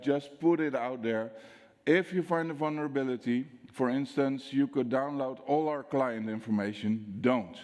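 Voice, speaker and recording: A Dutch-accented man gives a conference talk, telling stories with acted-out dialogue and tossing questions to the crowd. His voice sits in a middle register. It rings out with the long reverb of a large hall. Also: mid-range voice. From Netherlands. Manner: lively storyteller, acts out dialogue, asks audience questions. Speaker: security speaker.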